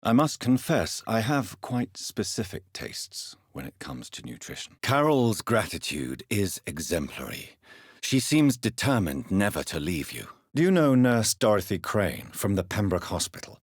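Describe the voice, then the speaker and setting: An actor playing a grave, courteous vampire doctor in a video game, with a British accent, a husky voice and formal, measured phrasing. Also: male voice, lowish voice, very animated, no echo, studio quality, heavily acted. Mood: measured, curious. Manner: formal, measured, polite, probing. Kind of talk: dramatic video game dialogue.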